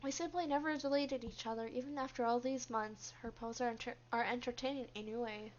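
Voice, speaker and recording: A woman voicing a reserved, guarded young female office worker, narrating a visual novel in a subdued, measured way. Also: fairly high pitch, fairly even intonation, slight background noise, lightly acted. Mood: reflective, guarded. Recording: dull, lo-fi microphone.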